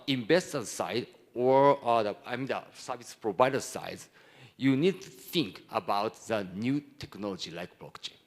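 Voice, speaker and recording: Japanese man giving a halting conference presentation. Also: mid-range voice. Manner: halting, broken phrasing in non-native English. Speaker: conference speaker, hedge fund executive.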